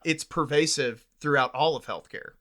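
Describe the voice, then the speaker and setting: A man's voice: on the high side, normal speed, fairly bright tone. A man hosting a podcast, talking in a candid, opinionated and conversational way.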